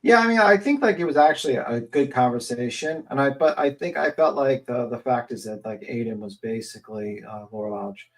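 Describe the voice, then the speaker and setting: A man's voice: medium pitch, expressive, a little fast, few pauses, poor audio. A man in casual panel chat who jokes, dodges questions and rambles. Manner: joking, evasive, rambling. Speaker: panel guest.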